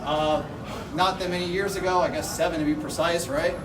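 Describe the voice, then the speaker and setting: A man's voice: on the high side, fairly even intonation, brisk, noisy background. A man in his thirties giving a rambling, joking rehearsal dinner toast on a handheld microphone, full of "you know" fillers. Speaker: best-man-style speaker, bride's older brother. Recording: echoey room, voice from far off. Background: loud music and crowd.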